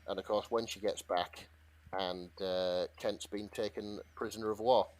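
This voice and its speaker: A man's voice: lowish voice, fairly even intonation, measured pace, a little mellow. A British man co-hosting a podcast, chatting casually and retelling fanfiction in a hesitant, rambling way. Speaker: podcast co-host.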